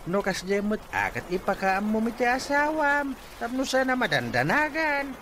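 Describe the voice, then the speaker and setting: A man's voice: extremely high pitch, big pitch swings, normal speed, a bit bright, heavily acted. A Filipino actor playing a stern father scolding his son-in-law in a radio drama. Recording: noisy radio drama track with ambience.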